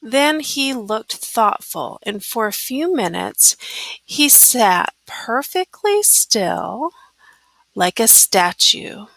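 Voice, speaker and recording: A woman reads a storybook aloud in a bright, slightly high voice. Her phrasing is careful and expressive, with lively rises and falls. The sound is dry. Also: slow, no room sound. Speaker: storybook reader. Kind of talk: children's story read-aloud.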